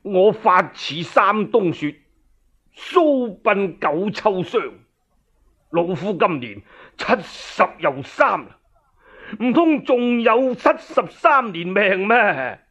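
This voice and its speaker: A man voicing a righteous, defiant general in his seventies, furious and scornful, with drawn-out declamatory delivery in a storytelling drama. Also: high-pitched, sing-song, a bit bright, heavily acted. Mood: proud defiance.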